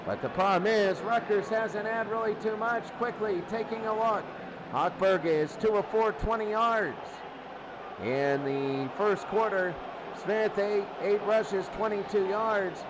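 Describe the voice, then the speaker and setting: A man doing excitable play-by-play football commentary in short, repeated phrases. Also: high-pitched, unhurried, background noise. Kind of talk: American football radio-style commentary.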